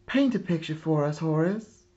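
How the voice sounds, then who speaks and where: A man's voice: a little high, lively intonation, moderate pace, mellow, muffled recording, pitched above the performer's own voice, close to an everyday voice. A man reading a storybook, voicing eager friends who plead for a picture. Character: eager, pleading schoolmates, sisters and soldiers asking for a picture. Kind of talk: storybook read-aloud.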